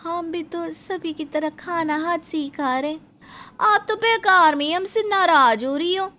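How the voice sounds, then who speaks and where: A naive village bride in a cartoon family story. Her voice is extremely high and bright, drawn out slowly in a rustic Hindi dialect, earnest and simple-minded. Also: exaggerated voice. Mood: innocent, coaxing. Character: naive, cheerful village bride, rustic young sister-in-law.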